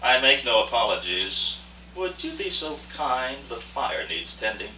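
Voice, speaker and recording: Man acting as genteel aging art dealer, sharp-edged, distant. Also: mid-range voice, very animated, full character voice. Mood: defensive, insistent. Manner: formal, courtly, deliberate storytelling. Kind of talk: radio play acting, rehearsed dialogue. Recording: distant, echoey room with faint noise and hum.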